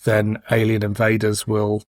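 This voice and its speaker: A man's voice: on the low side, completely flat delivery, unhurried, no echo. A man co-hosting a podcast, discussing casually and putting questions to his co-host.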